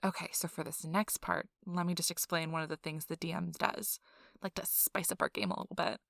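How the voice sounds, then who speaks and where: Excitable nerdy girlfriend, acted by a woman, lowish voice. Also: a little fast, vocal fry, no echo, clean studio sound. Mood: explaining eagerly. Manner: animated, breathless emphasis, frequent "like" asides. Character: excitable nerdy young girlfriend rambling about her tabletop game. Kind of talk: ASMR role-play monologue, casual rambling storytelling.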